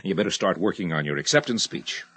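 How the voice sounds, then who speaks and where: Husky, animated political aide. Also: male voice, low-pitched, fast talker, full character voice. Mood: jubilant. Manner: insistent, familiar, pressing. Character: Lewis, eager persuasive political aide to a judge. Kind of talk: 1980 radio drama acting.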